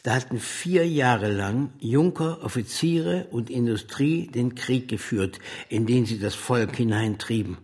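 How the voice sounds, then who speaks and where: A man's voice: medium pitch, a little slow, few pauses, darkish tone, husky. An actor playing an embattled male socialist revolutionary in a German radio drama, arguing in an impassioned, rhetorical way. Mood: earnest, troubled. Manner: rhetorical, declamatory.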